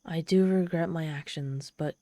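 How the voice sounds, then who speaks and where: Ancient demon woman, played by a woman for ASMR audio drama: lowish, velvety dark voice, proud and theatrical with a vulnerable streak. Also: normal speed, dry recording. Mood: proud, conceding. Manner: haughty, theatrical, softening into shy vulnerability. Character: ancient proud tsundere demon woman, lonely, haughty, teasing. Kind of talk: ASMR audio roleplay, addressing the listener.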